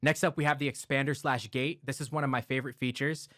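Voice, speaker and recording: Guy explaining his mic preamp step by step, mid-pitched voice, super dry close mic. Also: fast, dry recording. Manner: relaxed, step-by-step explaining with filler words. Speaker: audio gear reviewer. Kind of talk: explanatory gear walkthrough. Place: home studio. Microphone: close broadcast dynamic mic.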